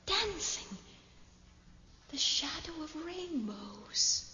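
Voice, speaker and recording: An actress playing a secretive, obsessed noblewoman at forbidden magic, speaking to herself in breathy fragments in a fantasy audio drama. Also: sits high, sing-song, drawn-out words, sharp tone, exaggerated voice. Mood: entranced. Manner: breathy murmured incantation in short fragments. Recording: echoey, muffled, clearly noisy cassette sound.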